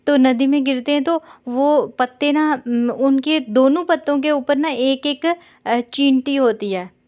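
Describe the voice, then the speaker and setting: A woman chatting casually on a call, consoling and gently scolding as she tells a simple story in a conversational way. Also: high voice, a little slow. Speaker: caller consoling an older sister figure. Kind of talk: casual phone chat with storytelling. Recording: dull lo-fi call recording.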